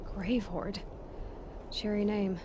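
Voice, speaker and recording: A woman's voice: medium pitch, level tone, takes it slowly, background noise, natural voice. An actress voicing a brave, self-reliant young female warrior in a video game, dry and musing in manner. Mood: sardonic. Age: young adult. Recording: loud music over the voice.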